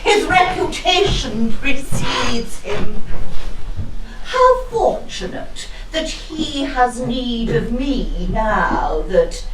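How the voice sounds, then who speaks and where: Woman as a scheming Victorian aunt, swooping and slow. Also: fairly high pitch, very animated, exaggerated voice. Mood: lustful, wistful. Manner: theatrical, arch, drawn-out innuendo. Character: scheming, lusty, scandal-hungry older Victorian widowed aunt. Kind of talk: comic costume stage melodrama in rhyme. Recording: echoey theatre from far off, audience noise.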